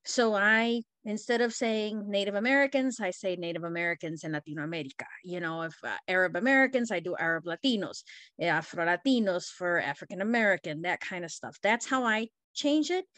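A woman's voice: mid-range voice, a little fast, fairly bright tone, no room sound. A woman from Costa Rica, a Spanish language arts teacher, sharing her classroom practice earnestly in a webinar discussion. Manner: earnest, with frequent fillers.

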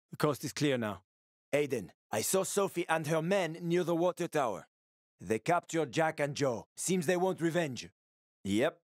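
An actor playing a wry, roguish middle-aged survivor in a video game, with a sardonic, slangy, casual way of talking. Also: male voice, medium pitch, no echo, studio quality, lightly acted. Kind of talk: video game character acting.

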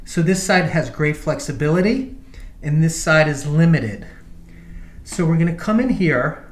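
A man's voice: fairly high pitch, animated, measured pace. A middle-aged man working as a chiropractor, giving a patient short directions and explanations during hands-on treatment. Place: small indoor treatment room. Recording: echoey room with clear noise and clicks.

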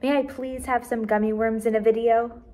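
A sulky little schoolgirl from a storybook, read by a woman, outspoken and indignant. She pleads her case emphatically in a fairly high voice. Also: fairly even intonation, normal speed, mellow, close to an everyday voice. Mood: indignant, wheedling. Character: sulky, outspoken young schoolgirl narrator bargaining with parents.

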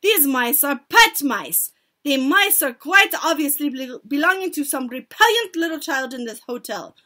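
A woman voicing an imperious, scornful Grand High Witch who commands her followers in stilted grammar, as in a storybook. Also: big pitch swings, moderate pace, heavily acted. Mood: commanding, disgusted. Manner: commanding, shouted declarations in stilted foreign-sounding grammar.

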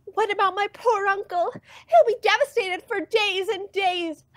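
A woman's voice: very high voice, a little slow, voice pushed up. A woman voicing a melodramatic, anguished teenage girl duck in a comic dub. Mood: mournful. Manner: overwrought, melodramatic.

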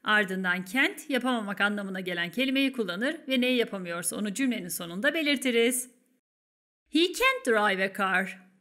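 Woman, Turkish accent, bright voice, very melodic intonation, careful instructive English teacher, scripted lesson narration. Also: fairly high pitch. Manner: careful, instructive, repetitive enunciation.

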